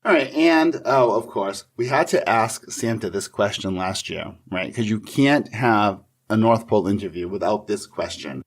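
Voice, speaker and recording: Male podcast co-host, slightly deep with a rough husk, friendly prepared delivery. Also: lively intonation, moderate pace, hardly any pauses. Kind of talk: friendly, scripted-sounding podcast hosting.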